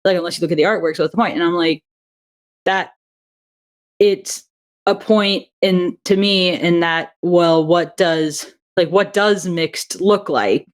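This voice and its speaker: A woman's voice: on the low side, steady tone, measured pace. A woman who writes and directs audio drama, talking through her ideas in a casual interview with fillers and small laughs.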